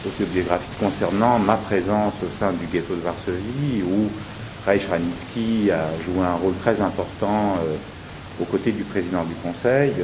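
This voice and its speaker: A man, an actor, talking through his theatre work with many restarts and hesitations. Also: deep voice. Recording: hissy recording.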